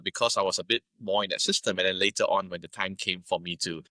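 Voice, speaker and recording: A man's voice: deep voice, steady tone, quick pace, sharp tone, no echo. A middle-aged man with a Singaporean accent, speaking conversationally as an interview guest. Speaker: podcast guest, media entrepreneur.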